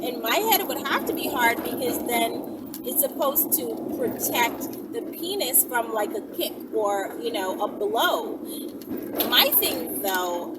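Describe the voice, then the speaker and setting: A woman hosting a video, chatting informally and thinking aloud as she answers viewer requests with rhetorical questions. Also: on the high side. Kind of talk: casual rambling chat to camera. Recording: distant mic, loud vehicle and street noise.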